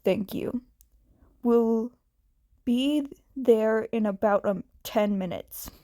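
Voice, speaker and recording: A woman voicing a tired, gruff, worried man with terse speech in a story reading. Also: on the high side, slow pace, warm tone, dry recording, studio quality. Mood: urgent.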